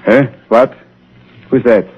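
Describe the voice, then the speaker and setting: A man's voice: mid-range voice, takes it slowly, slight background noise, lightly acted. A man playing a frank scientist in a 1930s radio drama, pondering as he talks, on a muffled old recording. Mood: wary. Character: frank scientist doctor, startled but obliging man.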